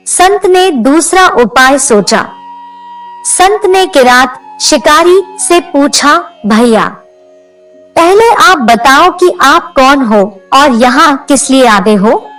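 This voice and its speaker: A woman narrates a scripted devotional story in a high voice. Her delivery is slow and swings widely in pitch as she tells the tale. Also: recorded from a distance, low-quality recording.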